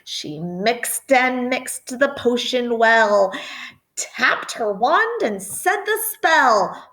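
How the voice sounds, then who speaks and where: Animated woman reading a picture book aloud. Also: on the high side. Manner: animated, deliberate, dramatic emphasis. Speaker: storytime reader. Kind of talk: expressive children's picture book read-aloud with chatty asides.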